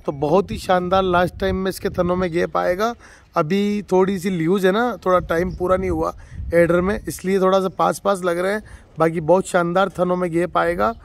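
A man from Rajasthan, a dairy farmer, shows a cow for sale in a casual spoken walkthrough, heaping on enthusiastic, repetitive praise from off camera in an outdoor farmyard. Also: high voice. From Rajasthan, India. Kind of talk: casual spoken livestock showcase.

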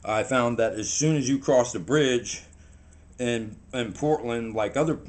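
A man, telling a story to the camera in a rambling, halting way and sounding a little shaky, recorded in a small room on a camera in front of him. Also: mid-range voice. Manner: rambling, halting, animated hand gestures. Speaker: vlogger.

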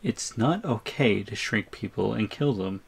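A man plays an anxious shrunken captive in an audio roleplay. His voice has a gravelly creak and swings widely in pitch. He pleads and placates, trying to reason his way out. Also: animated, measured pace, heavily acted. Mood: worried.

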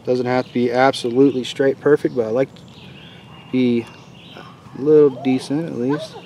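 A relaxed man in his thirties walks through a hands-on project in casual, easygoing talk. He is outdoors in a leafy forest, with faint open-air noise around him. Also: medium pitch, moderate pace. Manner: relaxed, chatty, laughs easily. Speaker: outdoors channel host. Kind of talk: casual how-to demonstration.

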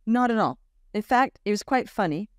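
A woman in her fifties, raised in Australia, talks as a podcast guest in a very dry home room, close to a large studio mic. She tells stories with animation, acting out the voices of the people in them. Her pitch sits in the middle for a woman. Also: normal speed, dry recording. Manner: animated storyteller, acts out quoted voices, laughs often. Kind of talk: casual interview storytelling. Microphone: large studio mic on boom arm, video call.

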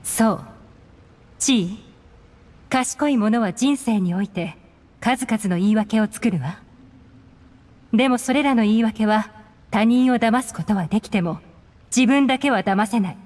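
A woman's voice: on the high side, darkish tone, close to an everyday voice. Woman playing a cool, shrewd agent in reverb. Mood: cool reproach. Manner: measured, knowing, pointed. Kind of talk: anime video game voice acting. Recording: long hall reverb, game music behind. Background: background music.

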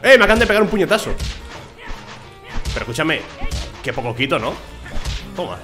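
A man's voice: a little high, big pitch swings, brisk, pushed and bright, faint music behind. A man in his thirties from Venezuela with a neutral Spanish accent, casually commenting on a game and joking while reading text aloud. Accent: neutral Spanish, faint Venezuelan. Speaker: gaming streamer. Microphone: headset.

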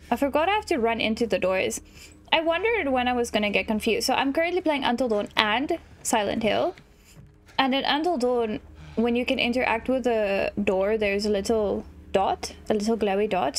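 A woman commentating a horror game, sniffly from allergies and shrieking excitedly at enemies. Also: fairly high pitch. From South Africa. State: sniffly, stuffy nose from allergies. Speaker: gaming YouTuber. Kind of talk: let's play commentary.

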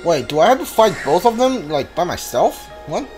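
Male streamer, casual game commentary, slightly high voice, game music behind him. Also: very animated, moderate pace, darkish tone. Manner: thinking aloud in short muttered remarks. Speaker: let's play streamer. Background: video game music and sounds.

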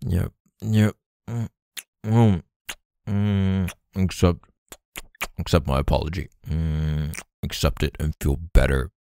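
Male, extremely low gravelly voice, as an actor playing a gentle, apologetic boyfriend in intimate ASMR roleplay; halting, doting, close-miked. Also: steady tone, no room sound, heavily acted. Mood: affectionate, playful. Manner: tender, halting, sighing between phrases. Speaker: boyfriend roleplay audio performer.

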